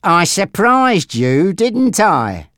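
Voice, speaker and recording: A narrator voicing a menacing, taunting male gangster boss in an audiobook story, with a threatening, drawn-out delivery. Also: medium pitch, sing-song, a bit bright, dry recording, studio quality, voice pushed up, exaggerated voice.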